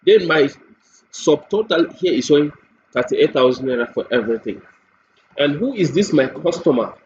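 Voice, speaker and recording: A man with a Nigerian accent gives an unscripted, step-by-step spoken walkthrough as a software demonstrator. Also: on the high side, lively intonation, moderate pace. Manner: step-by-step explaining. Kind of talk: screen-recorded product walkthrough, unscripted. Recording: dull lo-fi mic with faint noise.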